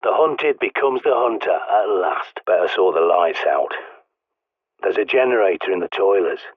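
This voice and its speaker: A low, husky British ex-soldier guides a survivor through a video game in a dry, conspiratorial way. His voice comes through a narrow, tinny radio filter with a close, dry sound. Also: animated, moderate pace, no echo. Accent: British English. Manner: dry, knowing, conspiratorial instructions. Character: gruff ex-army male survivalist, middle-aged, secretive. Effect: radio transmission.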